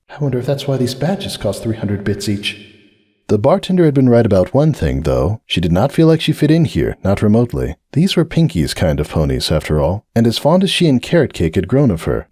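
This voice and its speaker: A man narrates a dramatic reading in a measured, expressive way, with pitch that rises and falls freely. His voice sits a little low with a dark, mellow tone. The recording is dry and close. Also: no echo.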